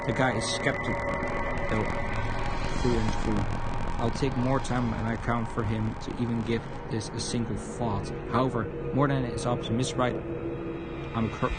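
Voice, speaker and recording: A man on a game livestream, reading visual novel text aloud and commenting, stumbling over words as he goes. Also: medium pitch. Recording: loud music under the voice. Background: loud background music.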